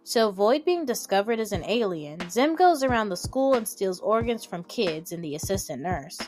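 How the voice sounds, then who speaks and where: A woman's voice: mid-range voice, very animated, normal speed, a little mellow. A young adult woman narrating an informal, opinionated video commentary with emphatic asides. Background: background music.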